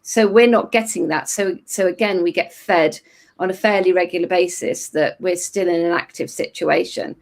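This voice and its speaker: A middle-aged woman therapist with a croaky voice, giving thoughtful explanatory answers as an interview guest, restarting phrases now and then. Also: on the low side, moderate pace.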